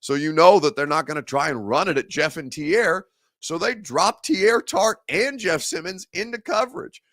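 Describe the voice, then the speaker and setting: A man hosting a live-stream sports talk show, hoarse and gravelly from a head cold, rambling and conversational as he reads viewer comments. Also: fairly high pitch, lively intonation, moderate pace, a bit bright, dry recording, studio quality. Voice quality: gravelly, growly.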